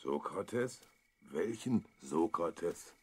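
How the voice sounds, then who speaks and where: Plain-spoken potter. Deep, slightly raspy, dryly humorous, audio drama character part. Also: male voice, expressive, drawn-out words, a little mellow, exaggerated voice. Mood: wry, helpful. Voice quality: husky. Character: gruff, wry Athenian potter, plain-spoken adult man. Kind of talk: children's audio drama acting.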